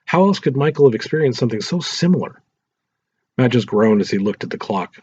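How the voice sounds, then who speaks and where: Husky man reading a story aloud. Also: mid-range voice, lively intonation, fairly quick, darkish tone, dry recording, muffled recording. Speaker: story narrator. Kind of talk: scripted audiobook narration.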